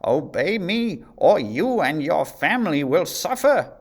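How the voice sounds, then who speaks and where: A man's voice: very animated, takes it slowly, full character voice. A man voicing a cruel, vain and jealous queen who shrieks her threats in a storybook reading. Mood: furious. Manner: shrill commanding threats.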